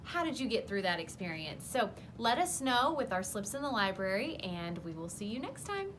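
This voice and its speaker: A woman's voice: medium pitch, sing-song, moderate pace, fairly bright tone, slight background noise. A woman hosting a children's story program, speaking directly to young listeners. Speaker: host of a bedtime story program. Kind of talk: addressing children, presenting.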